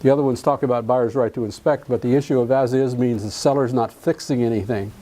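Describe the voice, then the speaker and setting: A man's voice: medium pitch, steady tone, fast, mellow, low-quality recording. Folksy man teaching a class. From Alabama, US South. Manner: folksy, jokey, quizzes the class. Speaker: real estate contract instructor. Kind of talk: live classroom lecture.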